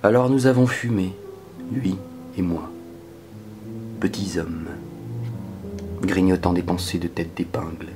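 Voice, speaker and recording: A man performing a dramatic spoken-word poetry reading in a solemn, measured manner that can sink toward a whisper. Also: sits low, fairly even intonation, darkish tone, soft background music. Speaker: poetry reader.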